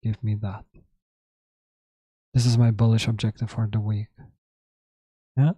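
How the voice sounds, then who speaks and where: A man with a sore, hoarse throat hosting a live trading stream, thinking aloud in halting, self-correcting commentary. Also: on the low side, little pitch movement, warm tone, dry recording. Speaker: trading livestream host.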